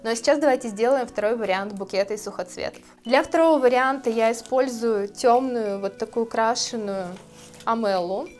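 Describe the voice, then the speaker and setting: A woman in her thirties, a florist, calmly explaining her steps in a tutorial, speaking gently to her viewers. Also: fairly high pitch, normal speed, soft background music. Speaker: florist teaching a course. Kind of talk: calm tutorial narration. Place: indoor studio with plants. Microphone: lapel mic.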